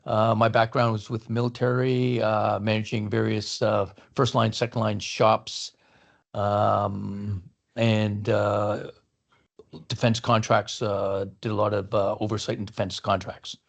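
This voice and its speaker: A man introducing himself on a video call in a halting way, with many fillers. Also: lowish voice, flat delivery, gravelly. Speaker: meeting participant.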